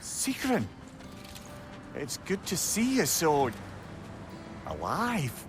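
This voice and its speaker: A man's voice: fairly high pitch, drawn-out words, music in the background, heavily acted. An actor playing a wry, sarcastic old male advisor who trades dry quips. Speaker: video game character. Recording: game audio with steady background noise.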